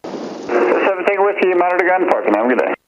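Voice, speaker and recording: A man with an American accent working as a tower controller, giving clearances over aviation radio. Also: high-pitched, big pitch swings, fast. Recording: muffled radio transmission, faint noise.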